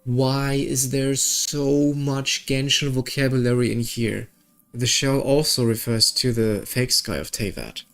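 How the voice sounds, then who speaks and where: Low-voiced man reading game story aloud. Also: unhurried, a bit bright. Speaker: gaming video creator. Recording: music behind the voice.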